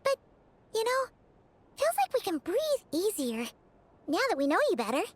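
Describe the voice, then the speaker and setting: A woman voicing a tiny, childlike fairy companion in a video game, squeaky, chatty and curious. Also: big pitch swings, normal speed. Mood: relieved, chirpy. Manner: refers to herself in third person, chatty.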